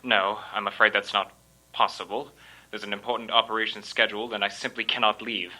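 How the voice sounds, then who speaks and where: An actor playing a stiff, self-important doctor in an old time radio mystery, speaking formally and emphatically. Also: male voice, on the low side, little pitch movement, brisk, pushed and bright, low-quality recording. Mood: urgent, certain.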